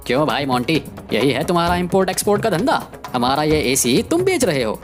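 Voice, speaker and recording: A woman voicing a greedy, excitable male farm labourer who exclaims a lot, in a cartoon story. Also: sits low, quick pace, pitched below the performer's own voice. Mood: accusing. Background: music.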